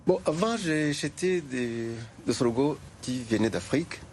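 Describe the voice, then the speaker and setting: A man's voice: on the high side, expressive, unhurried, a little hiss or hum. An older man from Rwanda answering interview questions in short, plain, hesitant sentences. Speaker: guest, sorghum liqueur maker.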